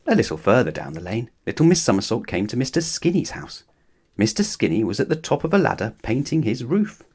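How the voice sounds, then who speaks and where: A man's voice: medium pitch, very animated, brisk, darkish tone, slightly rough. A man narrating a children's storybook expressively, as if talking straight to young listeners. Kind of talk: animated children's story read-aloud.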